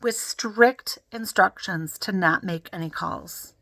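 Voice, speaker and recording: A woman's voice: medium pitch, takes it slowly, sharp tone, no echo, heavily acted. A woman voicing a cool, level-headed schoolboy in a children's story, laid-back and matter-of-fact. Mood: reluctant.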